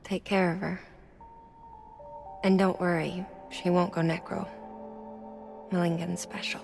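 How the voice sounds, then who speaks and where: A gravelly, flat-voiced game doctor. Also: male voice, high voice, measured pace. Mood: reassuring. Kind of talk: video game cutscene acting. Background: game soundtrack music.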